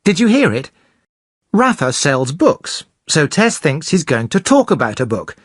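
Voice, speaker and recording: A presenter explaining English grammar slowly and clearly for beginners. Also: medium pitch, sing-song, no room sound, clean studio sound. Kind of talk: scripted teaching narration.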